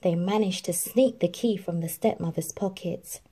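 A woman reading a children's storybook aloud in an expressive, animated narrating style. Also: fairly low pitch, moderate pace, slightly rough. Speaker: storybook reader.

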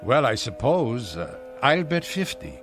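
An actor playing a hesitant, polite old man in a radio drama, speaking in a formal, mild-mannered, halting way. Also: medium pitch, sing-song, fairly bright tone, soft background music. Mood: tentative. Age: older.

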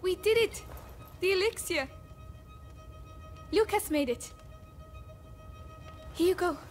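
Brief: a caring teenage sister, high, unhurried, musical score. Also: female voice, expressive, soft background music, exaggerated voice. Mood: gentle. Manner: gentle, reassuring. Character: protective teenage girl, older sister.

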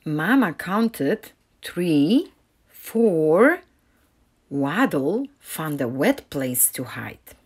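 A woman reads a picture book aloud to children. Her pace is slow and measured, and her pitch moves up and down in a lively, expressive way. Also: slightly rough. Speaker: read-aloud narrator.

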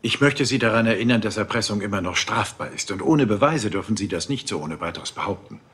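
An actor dubbing a sly, evasive Hollywood gossip reporter. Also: male voice, lowish voice, lively intonation, fast, fairly bright tone, breathy edge. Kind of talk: German-dubbed crime drama.

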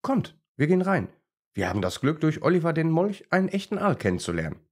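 A man's voice: a little high, very animated, fast, breathy edge, pitched above the performer's own voice. A man voicing a friendly male German shepherd who plays teacher in a children's story, polite and instructive.

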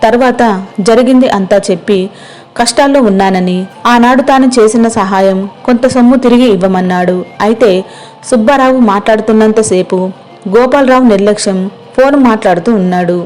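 A woman's voice: on the high side, brisk, darkish tone. A woman narrator reading a scripted moral story.

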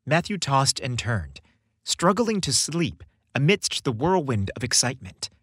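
Male narrator, scripted fiction, very animated pitch, dry close sound. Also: measured pace, husky, dry recording. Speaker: story narrator. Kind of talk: scripted audiobook-style narration.

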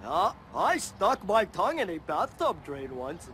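A man's voice: high voice, big pitch swings, measured pace, fairly bright tone, faint music behind, heavily acted. A man voicing a dim-witted, cheerful oaf of a boy in a cartoon video game, dopey and silly.

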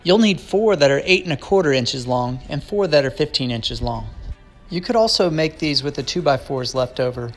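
Man narrating woodworking steps, calm. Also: on the high side, faint music behind. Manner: plain, measured instructional tone. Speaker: DIY woodworking instructor. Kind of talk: scripted step-by-step voice-over narration.

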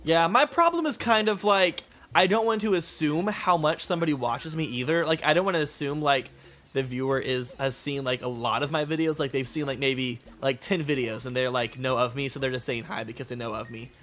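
A man's voice: mid-range voice, brisk, fairly bright tone. A man chatting casually as a gaming co-host, rambling and informal, with "like" scattered through his sentences. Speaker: gaming video creator, co-host. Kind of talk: casual chat over gameplay.

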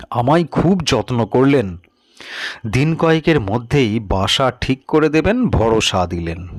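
A man narrating a scripted story in a measured, expressive storytelling manner. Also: mid-range voice, no echo, clean studio sound. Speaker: audiobook narrator.

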